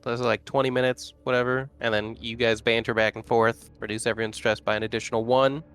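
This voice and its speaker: A man's voice: mid-range voice, flat delivery, quick pace, a bit bright, clean tone. A man chatting casually as a player in a tabletop roleplaying game.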